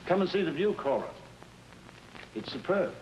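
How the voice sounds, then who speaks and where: An actor playing a genteel, dismissive older dignitary and husband, formal and patronizing, in a period drama. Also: male voice, high-pitched, very animated, moderate pace, background noise. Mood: placating. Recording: dull old broadcast with music.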